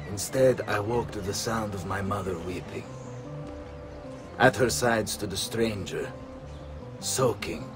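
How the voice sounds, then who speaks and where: An actor playing a dignified Florentine nobleman in a video game, solemn and formal, with an Italian accent. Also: deep voice. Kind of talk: dramatic video game acting. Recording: music behind the voice.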